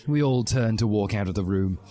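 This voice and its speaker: A man's voice: lowish voice, fairly quick, dark tone. A man giving a mock-serious, emphatic reading of a comic fan fiction story. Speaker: podcast reader. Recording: music behind the voice. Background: music.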